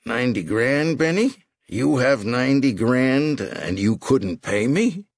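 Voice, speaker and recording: A man's voice: mid-range voice, expressive, takes it slowly, no echo, pitched above the performer's own voice. A man voicing a menacing gangster loan shark in an audiobook, taunting with theatrical mock courtesy. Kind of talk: audiobook character voice.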